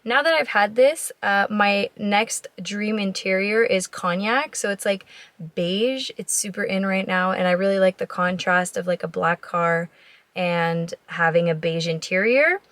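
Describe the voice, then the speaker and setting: A woman's voice: mid-range voice, a little slow, a bit bright, no room sound. A young woman in her twenties gives a casual vlog tour of her SUV, chatty and full of ums and likes, her voice scratchy from a cold. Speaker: vlogger. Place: inside a parked SUV and outdoors on a driveway. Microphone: handheld camera at arm's length.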